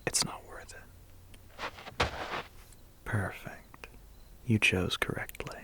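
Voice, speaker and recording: Man as a low, whispering vampire biker. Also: exaggerated voice. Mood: amused, teasing. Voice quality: breathy, gravelly half-whisper. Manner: slow, teasing, quietly threatening. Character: suave, menacing 1980s male vampire biker. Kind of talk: ASMR audio roleplay.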